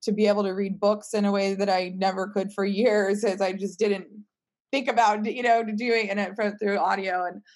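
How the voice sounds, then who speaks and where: A woman's voice: mid-range voice, steady tone, normal speed, darkish tone. A woman guest answering in a casual interview, explaining things in an emphatic, conversational way. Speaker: podcast guest, company team member.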